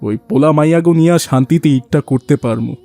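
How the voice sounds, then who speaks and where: A man's voice: on the high side, fast, gravelly, mellow. A man voicing a humble, devout, poor rickshaw puller in a cartoon, plain-spoken, with a rural Bangladeshi dialect. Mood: humble, earnest. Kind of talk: cartoon dialogue acting.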